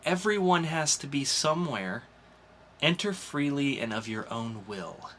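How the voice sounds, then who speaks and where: A man acts out a cool, teasing young woman in a comic book reading. The delivery is coy and casually sarcastic, in a bright, forceful voice. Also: medium pitch, animated, a little slow, slight background noise.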